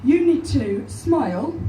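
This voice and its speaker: A young English woman with a high, croaky, hoarse voice delivers deadpan, self-deprecating stand-up. She is heard from far back in a small club, through its echoey house sound. Audience laughter rolls in between her lines. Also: slight background noise. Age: 20s. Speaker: stand-up comedian. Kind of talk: stand-up comedy set. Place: small comedy club stage, live audience. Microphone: handheld stage mic, filmed from far back in the crowd.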